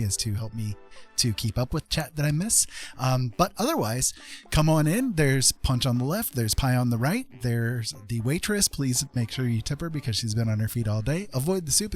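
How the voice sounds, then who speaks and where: A man's voice: mid-range voice, a little mellow. A man hosting a live art stream in a welcoming, chatty way, talking casually to viewers. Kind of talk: casual livestream chat. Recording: faint music behind.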